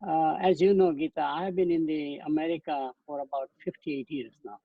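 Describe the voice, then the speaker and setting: A man's voice: on the high side, steady tone, a little fast, vocal fry, dry recording. A man in his eighties with an Indian accent, giving a webinar lecture from slides in loose, rambling sentences with frequent restarts, over a video call. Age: 80s. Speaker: guest speaker. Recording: dull dry webcast audio.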